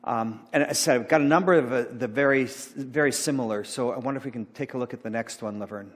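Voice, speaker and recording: Middle-aged male pastor, rambling and halting delivery, mid pitch, long hall reverb. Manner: rambling, halting, many fillers and restarts. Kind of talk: informal sermon talk about slides. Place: church sanctuary.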